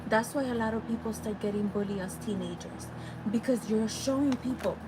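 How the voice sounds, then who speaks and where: A woman with a Salvadoran Spanish accent delivers a spiritual teaching and keeps turning to her listeners to ask if they see her point. Her voice sits high and swings widely in pitch. Clear vehicle and street noise runs behind her. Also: a little fast. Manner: animated, addresses listeners directly. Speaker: spiritual healer.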